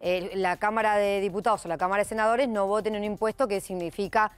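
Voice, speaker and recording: A woman's voice: medium pitch, fairly even intonation, fast talker, clear, resonant tone, faint music behind. A woman, reasoning through a point as a studio news panelist.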